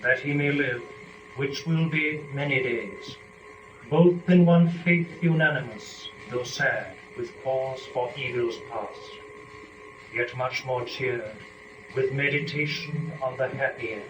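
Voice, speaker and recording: A muffled, roomy recording carries solemn epic verse, acted by a man. The delivery is declamatory and very slow, measured like a dramatic poetry reading on stage. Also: mid-range voice, close to an everyday voice. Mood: solemn, exhorting. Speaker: verse performer. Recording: roomy, distant, muffled, faint noise.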